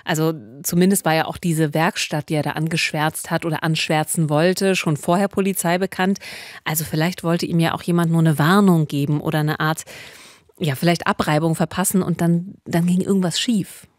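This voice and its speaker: Low-voiced woman co-hosting a crime podcast. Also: steady tone, moderate pace, no echo, studio quality. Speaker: podcast co-host. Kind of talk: conversational true-crime storytelling. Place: small recording studio. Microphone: close studio mic with pop filter.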